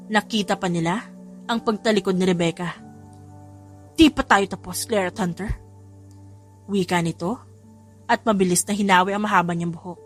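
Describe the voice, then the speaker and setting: A woman with a Filipino accent giving a scripted, dramatic story narration, with music behind her. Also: mid-range voice.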